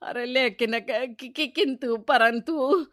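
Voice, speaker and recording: Woman doing fussy suspicious old cartoon mother-in-law, high voice, slow drawn out, super dramatic. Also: a bit bright. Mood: pleading, alarmed. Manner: theatrical, fussy, protesting. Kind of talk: Hindi cartoon storytelling dub.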